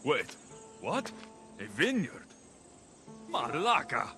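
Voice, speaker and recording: A sardonic, animated mercenary. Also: male voice, fairly high pitch, slow, faint music behind, full character voice. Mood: wry, incredulous. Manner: sardonic, blunt. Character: cocky, exasperated young Greek mercenary. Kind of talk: video game cutscene acting.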